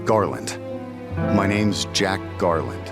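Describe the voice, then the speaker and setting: A man playing a gruff, brooding warrior loner in a game cutscene, with a gravelly voice and a curt, guarded manner. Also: sits low, expressive, takes it slowly, loud music behind the voice, full character voice. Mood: guarded, softer. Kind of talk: dramatic video game cutscene acting.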